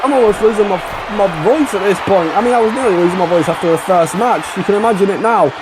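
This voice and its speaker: High-voiced man shouting wrestling commentary. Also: fast talker. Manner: excitable, often shouting over the action. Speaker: play-by-play commentator. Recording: loud crowd and noise behind.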